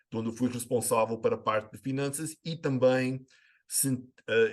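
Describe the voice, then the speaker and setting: A middle-aged American man talks in Portuguese, his accent carrying a slight Brazilian tinge. He answers as a guest expert over a remote call link, hesitating often, filling gaps with "eh" and restarting phrases. His voice sits in an ordinary middle pitch. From United States. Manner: hesitant, with many filler sounds and restarts. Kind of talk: conversational interview answers.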